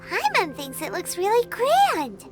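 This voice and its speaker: Very high squeaky childlike little companion. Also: female voice, huge pitch swings, a little slow, music in the background, heavily acted. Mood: curious. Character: small childlike floating companion, curious and naive. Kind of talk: video game character acting.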